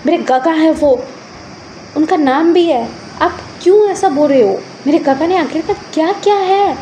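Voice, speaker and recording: A woman's voice: on the high side, a little fast, mellow, roomy sound, slight background noise, close to an everyday voice, poor audio. A woman voicing a loyal, indignant young man in a story, protesting emphatically. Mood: indignant, protective.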